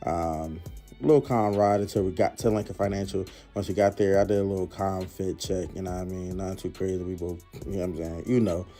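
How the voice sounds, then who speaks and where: Man, low mellow voice, slangy laid-back vlog narration, faint music behind. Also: steady tone, brisk. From Philadelphia, United States. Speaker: concertgoer narrating his vlog. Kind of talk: casual voice-over recap.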